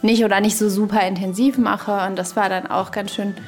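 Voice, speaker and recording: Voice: mid-range voice, flat delivery, fairly quick, soft background music. A woman's voice co-hosting a podcast in casual chat, playful and ironic, wandering from thought to thought. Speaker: podcast co-host.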